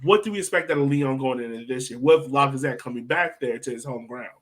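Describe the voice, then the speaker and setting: A man's voice: mid-range voice, fast talker. A man hosting a casual soccer podcast, thinking aloud and steering the discussion.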